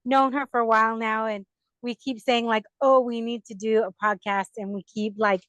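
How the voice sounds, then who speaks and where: A woman's voice: a little high, steady tone, normal speed, a bit bright, no room sound. A young woman hosting a podcast, chatting casually and animatedly, laughing often. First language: English. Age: young adult. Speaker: podcast host. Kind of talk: casual interview chat.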